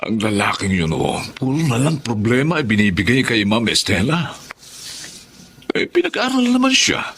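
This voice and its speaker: A man's voice: mid-range voice, wildly swooping pitch, normal speed, gravelly, a bit bright, slight background noise, full character voice. A man acting a protective, gossipy older driver in a Filipino radio drama, with an emphatic, cautioning manner. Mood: disapproving, warning.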